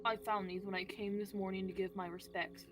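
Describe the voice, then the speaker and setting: Woman as an urgent, flat-toned scruffy workman. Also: mid-range voice, fairly quick, over music, lightly acted. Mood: uneasy. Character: tall, crazy-eyed scruffy workman, urgent and abrupt. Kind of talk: radio theatre acting.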